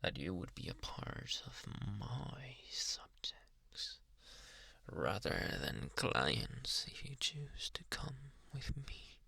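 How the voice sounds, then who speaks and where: A man playing a possessive, softly menacing psychiatrist in an ASMR roleplay, coaxing in a hushed, breathy, deliberate voice. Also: big pitch swings, no room sound, heavily acted. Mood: smug, reassuring. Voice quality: breathy, gravelly low voice.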